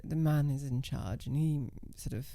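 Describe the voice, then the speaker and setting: A woman with a naturally low, gravelly voice, talking in a calm, level, assertive manner. Her tone is mellow and dark, recorded dry and close with no room sound. Her English phrasing is slightly non-native. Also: normal speed, no echo. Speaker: interview guest, professional dominatrix. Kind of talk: casual conversation.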